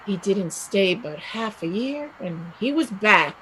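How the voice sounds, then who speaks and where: A woman playing a restless young Irish village woman set on emigrating, speaking emphatically and deliberately in a stage play reading. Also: mid-range voice, animated, fairly bright tone, slightly rough, exaggerated voice, poor audio. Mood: determined.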